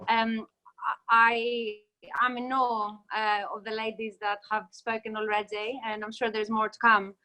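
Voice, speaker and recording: A woman's voice: a little high, flat delivery, unhurried, bright, forceful tone, rough recording. A woman in her thirties from Greece, a lawyer on a video-call panel, talking hesitantly with many fillers and restarts. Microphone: laptop webcam mic, video call.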